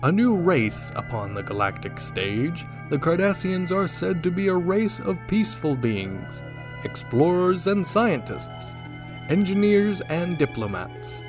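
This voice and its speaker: A man's voice: high-pitched, very animated, a little slow. A man playing a portentous announcer narrating an old-time space adventure radio serial. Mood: measured, storytelling. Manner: dramatic, portentous delivery. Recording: loud music under the voice. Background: music.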